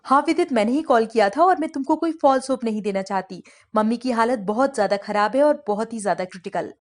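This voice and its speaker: A woman voicing a serious, urgent hospital nurse in an animated story. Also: fairly high pitch, fast, close to an everyday voice. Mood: grave. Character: urgent, serious female hospital nurse breaking bad news. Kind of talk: animated story dialogue acting.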